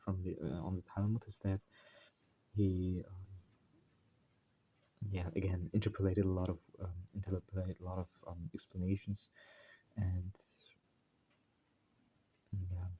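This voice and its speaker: A man hosting his own channel, giving a casual introduction to a text study. He speaks hesitantly, with frequent fillers and self-corrections, while deliberately putting on an American accent. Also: sits low, level tone, warm tone, dull audio, slight background noise. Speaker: channel host.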